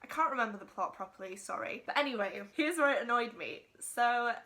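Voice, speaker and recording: Bright-voiced Welsh woman gushing over books. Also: fairly high pitch, expressive, brisk. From Wales. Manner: gushing and chatty, slips into rants. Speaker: book vlogger. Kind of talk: casual unboxing chat.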